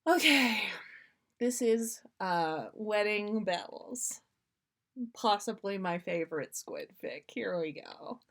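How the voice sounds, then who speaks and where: A woman podcast host reading fan fiction aloud on a livestream in an amused, expressive storytelling way. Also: mid-range voice, slow pace.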